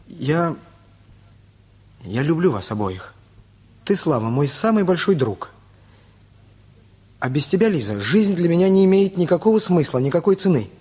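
An actor playing a lovesick, wounded teenage boy, earnest and halting, in a radio play. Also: a little high, a little mellow, slightly rough.

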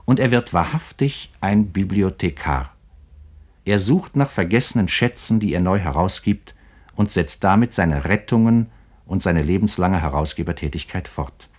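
A deep male voice delivers scripted literary commentary for radio. He narrates with emphatic, carefully literary phrasing. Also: lively intonation, normal speed, a little mellow.

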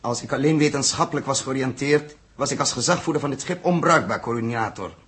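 An actor playing a calm, reflective male spaceship captain in a 1960s Dutch radio drama. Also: medium pitch, close to an everyday voice. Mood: firm. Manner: measured, musing, commanding.

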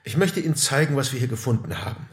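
An actor playing a matter-of-fact, probing police detective in naturalistic audio drama dialogue. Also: male voice, medium pitch, animated, fast talker, husky.

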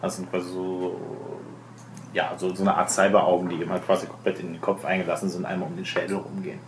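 Male game master for tabletop session, deep-leaning voice, improvised storytelling, echoey noisy group recording. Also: little pitch movement, quick pace. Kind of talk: improvised narration of a tabletop role-playing game. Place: room with other players at a table.

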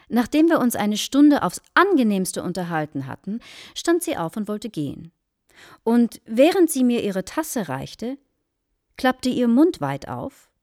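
Radio drama: earnest, formal wife, dramatic storyteller, very dry studio sound. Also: female voice, medium pitch, no echo. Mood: dramatic, solemn. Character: earnest married woman solemnly recounting a shocking anecdote to a guest. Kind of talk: German radio play acting.

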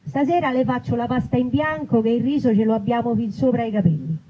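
A woman's voice: fairly high pitch, quick pace, husky. A woman playing a practical, weary mother of a toddler, in a live reading. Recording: muffled live recording with noise.

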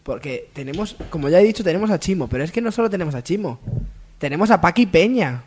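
A man's voice: high-pitched, lively intonation, fast talker, breathy edge. A young man with an accent from Spain, chatting and joking casually as a panelist on a comedy radio show. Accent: Spanish from Spain. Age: young adult.

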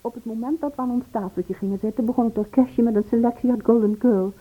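A woman's voice: on the high side, fairly even intonation, quick pace, breathy edge. An actress playing a hesitant, loyal woman who is wary of trouble, understated, in a radio play. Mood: recounting. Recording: dull, band-limited old radio play recording.

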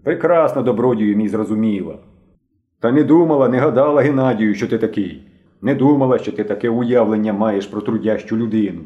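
A man's voice: on the low side, lively intonation, brisk, lo-fi sound, lightly acted. A man voicing a stern elderly working-class aunt in an audiobook, grumbling and reproachful, with emphatic delivery. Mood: indignant scolding.

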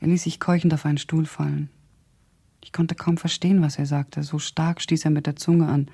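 A woman's voice: sits low, gravelly, dark tone, husky, heavily acted. An actress playing an educated young Russian woman who recalls events reflectively and precisely in a radio drama. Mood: sober. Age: young adult. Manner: reflective, precise, descriptive storytelling. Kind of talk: radio drama monologue as recollection.

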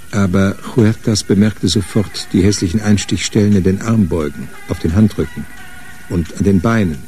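An actor narrating a hard-boiled radio crime story. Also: male voice, sits low, mellow, lightly acted.

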